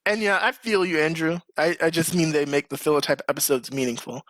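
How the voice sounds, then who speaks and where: A man's voice: a little high, expressive, brisk, fairly bright tone, no room sound. A man hosting a casual podcast chat, talking informally and with slang. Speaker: podcast host.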